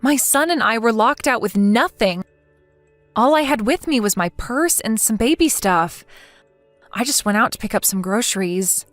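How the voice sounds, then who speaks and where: A woman playing a wronged mother in her forties in a dramatized story, earnest and aggrieved but measured. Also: a little high, lively intonation, no room sound, faint music behind, natural voice. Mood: worried, polite. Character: wronged mother over forty, indignant yet polite.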